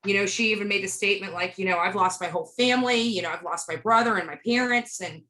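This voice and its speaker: A woman's voice: medium pitch, fast, bright, forceful tone. A woman being interviewed, telling a story casually in a blunt, emphatic way and acting out bits of dialogue as she narrates. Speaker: interview guest, street protester.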